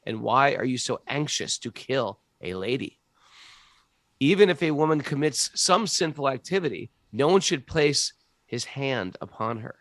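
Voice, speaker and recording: A man's voice: mid-range voice, animated, moderate pace, a bit bright, no echo. Man reading as the pleading earth goddess. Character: frightened earth goddess in cow shape, pleading.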